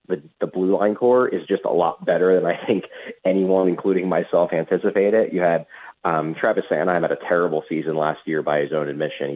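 A male sports reporter giving analytical hockey commentary in a radio interview, in hesitant phrases with repeated words. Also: deep voice. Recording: muffled, tinny phone line.

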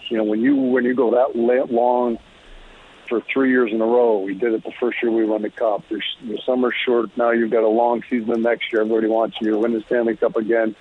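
Husky man on a dull, lo-fi line. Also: mid-range voice. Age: middle-aged or older. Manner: halting, thoughtful, repeats words. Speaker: interview guest, former hockey player. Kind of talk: casual reflective sports talk. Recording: muffled, lo-fi call audio.

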